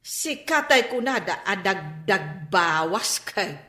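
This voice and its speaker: A woman playing a sharp-tongued, teasing village woman in a radio drama. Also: medium pitch, animated, slow pace, echoey room. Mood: teasing, scolding.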